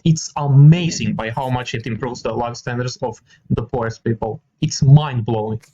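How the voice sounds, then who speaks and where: Croatian-born man, cool and assured debater, cites studies, teasing edge, dull lo-fi call audio. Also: medium pitch. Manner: calm, confident, quick with data and sarcasm. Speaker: debating video chat host. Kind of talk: casual debate on video chat.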